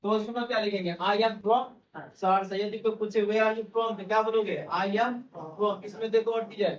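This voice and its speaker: A man's voice: sits high, flat delivery, normal speed, far from the mic. A male English teacher, lecturing a class and repeating his key phrases as he keeps questioning the students. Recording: roomy classroom, voice from off-mic.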